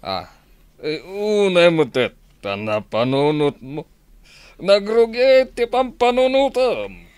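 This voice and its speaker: A man's voice: high voice, sing-song, slow pace, a little mellow, smooth, no echo, full character voice. A man acting a stubborn, defensive, grumbling husband in an Ilocano radio drama. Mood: muttering, scheming.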